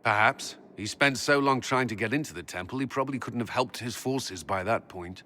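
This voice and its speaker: An actor playing a brisk military commander in a fantasy game, reporting in a formal, matter-of-fact way. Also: male voice, mid-range voice, pushed and bright, lightly acted. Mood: businesslike. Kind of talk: dramatic fantasy game acting.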